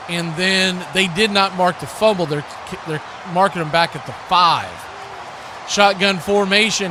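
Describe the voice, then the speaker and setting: A high-pitched male play-by-play commentator calls a live football game. He describes each snap and spot as it unfolds, over clearly noisy stream audio.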